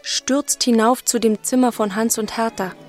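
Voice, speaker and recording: Woman acting grave chorus narrator, fairly high voice, stark measured phrases, close dry studio, light music. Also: fairly even intonation, lightly acted. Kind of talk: literary radio drama narration.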